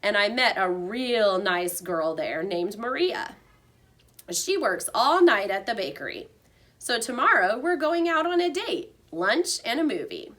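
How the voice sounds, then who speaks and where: A woman voicing a friendly, excited male night janitor in a children's storybook, chatty and exuberant. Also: mid-range voice, measured pace. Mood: smitten.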